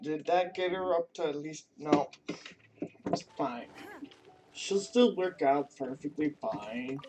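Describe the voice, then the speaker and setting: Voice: mid-range voice, takes it slowly, background noise. A gamer giving casual commentary while playing a video game. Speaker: gaming streamer.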